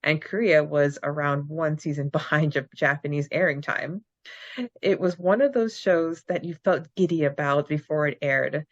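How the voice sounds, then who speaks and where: A woman's voice: low voice, no room sound. A woman co-hosting a casual fan podcast, reading out questions and answers and chatting. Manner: reads questions aloud, quick bursts, laughs often. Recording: dry, dull lo-fi sound.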